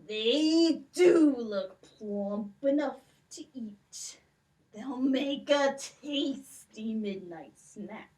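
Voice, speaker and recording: A greedy storybook giant acted out by a woman for a puppet show, hungry and gloating. He draws his words out very slowly and theatrically. The voice sounds a little way off from the microphone. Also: on the high side, lively intonation, darkish tone, recorded from a distance, poor audio. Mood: scheming. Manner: slow, gloating, drawn-out delivery. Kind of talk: children's puppet show folk tale.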